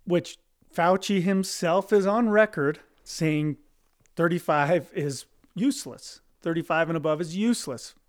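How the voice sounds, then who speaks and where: Man, fairly high voice, podcast co-host, emphatic, repeats and restarts phrases. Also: unhurried. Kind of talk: casual discussion.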